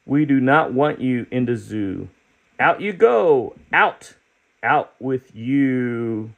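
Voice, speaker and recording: A man's voice: mid-range voice, animated, slow pace, vocal fry, highs cut off. A man voicing a group of bossy children in a storybook, firm and scolding.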